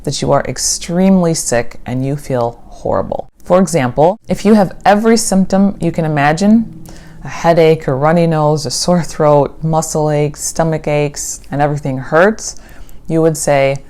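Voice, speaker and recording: An American woman who is an English teacher, giving a clear, scripted vocabulary lesson and reading example sentences. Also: low-pitched, a little mellow. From United States.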